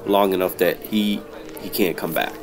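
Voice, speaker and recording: An actor playing a world-weary, hard-boiled detective in an old-time radio noir drama, deadpan and measured. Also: male voice, deep voice, over music. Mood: somber, gentle.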